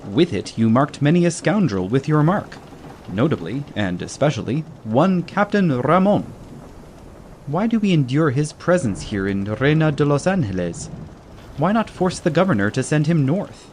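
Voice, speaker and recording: A man acts out a boisterous, mocking caballero in an audiobook drama. His slightly high voice swings widely in pitch, theatrical and teasing. A steady ambient sea noise runs behind him. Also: moderate pace. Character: boisterous, mocking Spanish caballero, always ready to laugh. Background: ambient sea sound bed.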